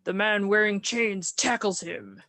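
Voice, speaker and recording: Woman, scene narrator, mid pitch, unhurried, halting descriptions, dry recording. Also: pushed and bright, no room sound. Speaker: narrator of a group comic reading.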